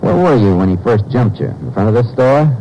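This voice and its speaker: Man acting a deep-voiced, steady Texas Ranger. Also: a little fast, slight background noise, close to an everyday voice. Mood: brisk, investigative. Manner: clipped, matter-of-fact. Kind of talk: 1950s radio drama acting, narration and dialogue. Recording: muffled 1950s radio broadcast, music behind.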